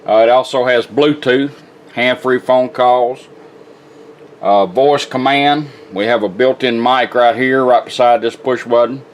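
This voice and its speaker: A man's voice: medium pitch, unhurried, slight background noise. A plainspoken, folksy man giving a casual product demonstration as a radio restorer. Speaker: radio restorer presenting his work.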